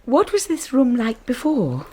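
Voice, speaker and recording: A woman's voice: high-pitched, wildly swooping pitch, unhurried, mellow, husky, exaggerated voice. A woman playing a shy, anxious young wife in a radio drama, with an English accent and a hesitant, polite manner. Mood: tentatively curious. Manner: hesitant, polite, self-effacing. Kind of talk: radio drama acting with first-person narration.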